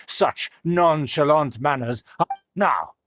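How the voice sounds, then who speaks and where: A man's voice: a little high, lively intonation, slow, fairly bright tone, no room sound. A man playing a haughty nobleman from a declining clan in a video game, speaking in a pompous, disdainful, formal way. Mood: haughty, condescending. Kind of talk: video game character acting.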